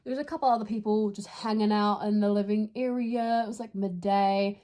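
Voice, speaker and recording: A woman's voice: mid-range voice, fairly even intonation, fairly quick, dark tone. A young woman with a New Zealand accent telling a story in a casual, chatty way, using informal slang as a vlogger. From New Zealand, from Dunedin.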